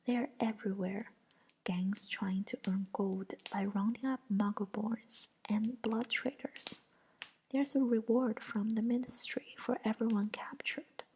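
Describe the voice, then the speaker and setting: A woman voicing a defensive young male wizard in a soft whisper. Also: medium pitch, steady tone, a little slow, a little hiss or hum, close to an everyday voice, low-quality recording. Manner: whispered, pleading then shouting back.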